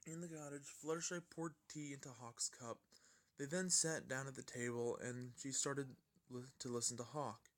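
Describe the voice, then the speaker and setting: A man's voice: medium pitch, steady tone, normal speed. A man narrating a fan fiction story in an amateur style, often stumbling and rereading his lines.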